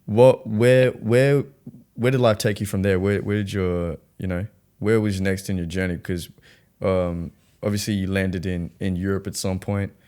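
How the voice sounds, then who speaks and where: A man's voice: low-pitched, steady tone, moderate pace, darkish tone, clean tone. A man co-hosting a podcast, asking easygoing, rambling questions about the guest's journey. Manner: easygoing, laughs and jokes while asking questions. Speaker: podcast co-host. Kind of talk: casual interview questions.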